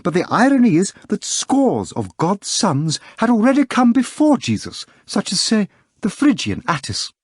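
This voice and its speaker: Brief: a man playing a lecturing editor in a storybook reading, pitched high, husky edge. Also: wildly swooping pitch, normal speed, no echo, clean studio sound, full character voice. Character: learned middle-aged male editor lecturing.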